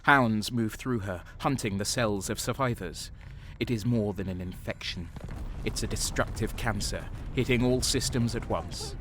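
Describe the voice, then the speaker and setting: An actor playing a bitter, sardonic renegade soldier, narrating and speaking in a dark sci-fi audio drama with a brooding, cynical manner. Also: male voice, on the low side, moderate pace, slight background noise, natural voice. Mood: grim narration.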